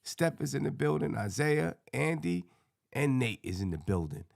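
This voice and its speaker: A man with a hoarse, scratchy voice hosting a casual sports fan panel in slangy, colloquial talk. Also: medium pitch, sing-song, normal speed, no echo, clean studio sound.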